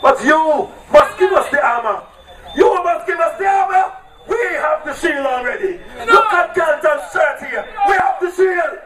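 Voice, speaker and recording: A man with an Antiguan accent shouting a political campaign speech at an outdoor rally, hammering repeated rallying cries. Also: very high-pitched, expressive, a little slow. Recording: echoey, distant, loud crowd.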